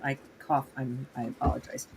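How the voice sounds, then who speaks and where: A woman from Minnesota, a guest on a livestream, chatting in a lively storytelling way with a voice hoarse from a cold. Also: rumbling low, moderate pace, a little hiss or hum. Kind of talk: casual livestream conversation.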